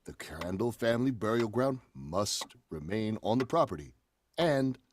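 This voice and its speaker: Male actor as smug real estate agent for radio horror: lowish pitch, lively inflection, condescending hesitations. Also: moderate pace, a little hiss or hum. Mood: wry, apologetic. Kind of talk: 1940s radio drama acting.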